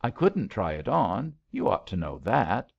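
A man's voice: lowish voice, sing-song, a little slow, creaky, a little mellow, slightly rough, heavily acted. A man voicing a spirited, stubborn young woman in an audiobook love story, eager and direct. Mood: puzzled, indignant. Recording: slightly dull, lo-fi audiobook recording.

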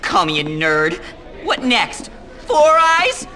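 A very high, pompous schoolboy nerd. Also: male voice, big pitch swings, slow pace, pushed and bright, exaggerated voice. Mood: smug. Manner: smug, self-important, whiny. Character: pompous, whiny nerdy teenage boy running for president. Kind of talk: video game cutscene acting.

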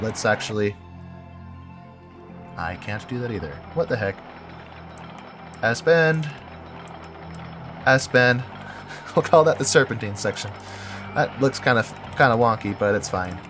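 A man with a head cold talks through his building with a stuffy, nasal voice, his pitch rising and falling a lot. He thinks aloud, chuckles and corrects himself as he goes, with clear game music running under him. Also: lively intonation, measured pace, pauses often, a bit bright. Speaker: game video creator. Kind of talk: casual gameplay commentary.

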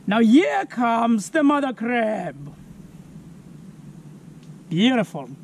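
Slow-speaking South African man teaching kids. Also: very high voice, big pitch swings, a little mellow, slight background noise. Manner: slow, clear, asks viewers to spell words. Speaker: environmental educator. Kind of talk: children's science lesson. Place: outdoors on a lawn.

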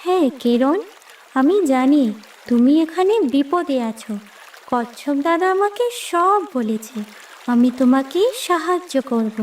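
A woman's voice: sits high, lively intonation, unhurried, a little hiss or hum. A woman voicing a tiny, clever water creature in a fairy-tale cartoon, with an earnest, childlike manner. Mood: eager, confident. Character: tiny clever water creature, eager, careful little helper. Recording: distant voice with music bed.

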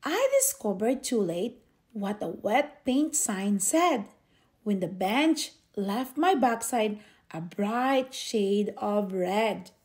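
A woman reading a children's picture book aloud expressively, with theatrical, drawn-out emphasis on key words. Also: mid-range voice, expressive, a little mellow. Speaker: storybook reader.

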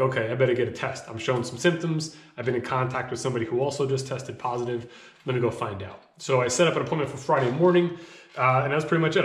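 A man in his thirties talking casually to camera from a small home office, rambling a bit with fillers, his voice slightly hoarse from a cough. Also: medium pitch. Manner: conversational, rambling with fillers and restarts. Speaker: vlogger. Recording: echoey room.

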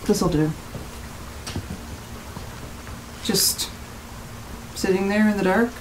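An actress playing a guarded, blunt rental guest, speaking tersely and naturally in an audio drama. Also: female voice, medium pitch, unhurried, slight background noise, lightly acted, rough recording. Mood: wary, reserved.

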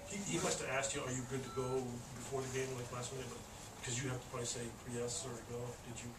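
Quick male reporter, distant and noisy. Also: mid-range voice, steady tone. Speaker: sports reporter. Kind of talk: asking questions at press conference. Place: indoor press conference room. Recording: very noisy, echoey, distant pickup.

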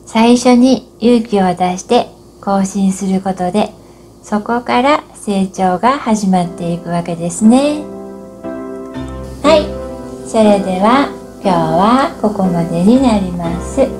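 A woman's voice: mid-range voice, slow pace, a little mellow, soft background music. A middle-aged woman giving scripted comments as the co-host of an instructional show. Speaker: co-host of an amateur radio Morse code channel.

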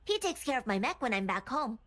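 An actress playing a cocky, playful young Korean mech pilot and gamer, cheeky and teasing. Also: female voice, fairly high pitch, big pitch swings, a little fast, a bit bright. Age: young adult.

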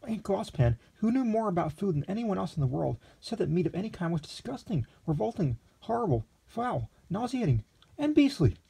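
A naive, excitable young man gushes in breathless, climbing exclamations, put on by a male storyteller. The voice sits high and swoops up at every surprise. A husky, gravelly grain runs underneath. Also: fairly quick, few pauses, heavily acted. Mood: bewildered.

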